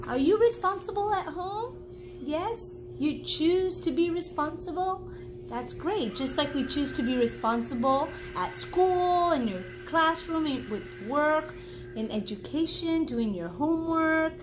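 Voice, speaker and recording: Woman in her forties, high voice, slow pace, children's read-aloud, encouraging teacher, questions to the listener. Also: animated, noisy background. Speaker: reading teacher. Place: small indoor room at home.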